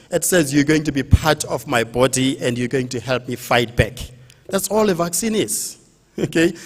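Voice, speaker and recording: Animated man addressing an event crowd. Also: a little high, long reverb tail. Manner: animated, calls the crowd to join in. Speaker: event host. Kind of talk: public address at an outdoor event.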